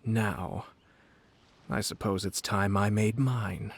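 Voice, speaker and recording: Cool, condescending game aristocrat. Low, measured, formal, quietly confident, over music. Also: male voice, creaky. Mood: cool condescension. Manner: formal, measured, politely cutting. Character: composed, cunning young nobleman, coolly condescending, quietly confident. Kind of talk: video game voice acting.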